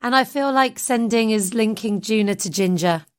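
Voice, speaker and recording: A woman with a slightly croaky voice, chatting casually as a podcast host. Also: on the high side, moderate pace.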